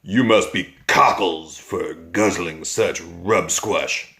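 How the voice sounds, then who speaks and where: A man reading aloud and voicing a greedy, menacing male giant in a low, gruff, gravelly voice. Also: takes it slowly, bright, forceful tone, exaggerated voice. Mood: gruff menace.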